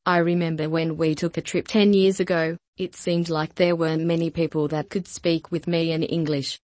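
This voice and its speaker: A woman with a somewhat low voice reads lesson sentences with slow, even care, repeating each one for learners. The sound is close and dry, with no room around it. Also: no echo. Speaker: language lesson reader. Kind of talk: scripted repeat-after-me drill.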